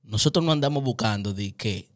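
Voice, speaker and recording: Animated Dominican man hosting a podcast. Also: on the low side, fairly quick. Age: 30s. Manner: animated, gestures widely, preachy. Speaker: host. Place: small indoor home studio. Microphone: studio mic on stand, wearing headphones.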